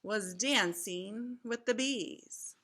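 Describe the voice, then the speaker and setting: A woman voicing a polite, earnest young storybook boy who talks deliberately. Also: medium pitch, a bit bright.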